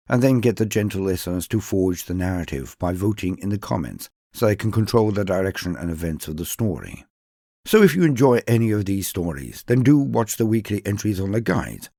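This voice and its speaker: Deep, husky man narrating with theatrical swells. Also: darkish tone, no room sound, clean studio sound. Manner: theatrical, measured, solemn delivery with emphatic swells and falling endings. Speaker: narrator and host of a fan fiction lore channel. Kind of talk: dramatic scripted storytelling narration. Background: music.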